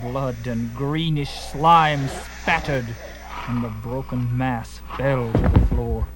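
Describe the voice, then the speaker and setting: A man's voice: medium pitch, steady tone, slow, very noisy. A man narrating a fantasy adventure in a theatrical, suspenseful and emphatic style, with music behind him.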